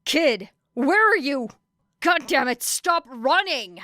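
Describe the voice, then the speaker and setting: Lady doing a fed-up hero guy yelling after a runaway, strained, audiobook acting, no echo. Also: sits high, very animated, takes it slowly, clean studio sound, voice pushed up, full character voice. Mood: exasperated, strained. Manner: shouting, strained, exasperated. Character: gruff, exasperated adult male hero chasing a runaway.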